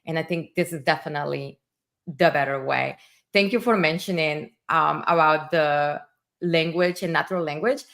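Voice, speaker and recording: A woman's voice: deep voice, fairly even intonation, moderate pace, gravelly, a bit bright. A woman hosting a livestream, a native Spanish speaker with a Spanish accent, talking in an enthusiastic, chatty way full of fillers. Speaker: livestream host. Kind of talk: casual livestream conversation.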